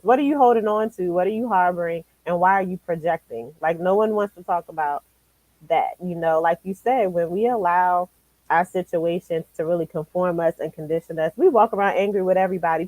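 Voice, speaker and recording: A woman's voice: lowish voice, darkish tone, dry recording, rough recording. A woman talking in a casual conversation, affirming and reflective.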